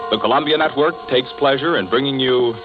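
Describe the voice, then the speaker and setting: A man's voice: medium pitch, fairly quick. A man reading a dramatic, scripted introduction in 1940s radio style. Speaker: radio announcer. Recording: muffled 1940s radio recording, loud music.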